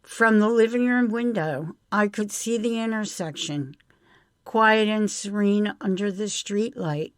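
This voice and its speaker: A woman reads a first-person short story as its writer. She takes it very slowly, at a medium pitch. The sound is close and dry, free of room echo. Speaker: writer reading own story. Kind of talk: literary short story reading.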